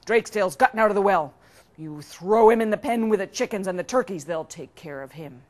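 Woman playing a loud, grumpy, commanding king. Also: medium pitch, lively intonation, fairly quick, lightly acted. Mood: irritated, commanding. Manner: commanding, curt. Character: grumpy, miserly male king barking orders.

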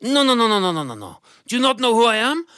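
A boastful, gluttonous storybook goat played by a male storyteller, pitched well above a normal man's talk. It taunts and threatens, repeating words in a bullying way. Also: huge pitch swings, fast, bright, forceful tone, clean tone, dry recording, studio quality, heavily acted. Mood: taunting menace.

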